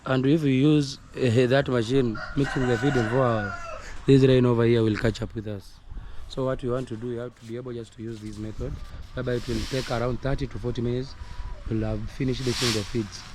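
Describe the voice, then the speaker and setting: Hoarse Kenyan man on a noisy farm. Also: medium pitch, fairly even intonation, brisk. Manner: repetitive, earnest, coaching tone. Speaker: poultry farmer. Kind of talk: casual instructional vlog. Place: outdoors on a poultry farm. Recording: noisy outdoor recording with roosters crowing.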